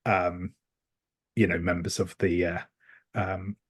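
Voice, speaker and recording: A man's voice: low voice, flat delivery, takes it slowly, husky. A man playing a tabletop game, describing things casually and hesitantly as he thinks aloud.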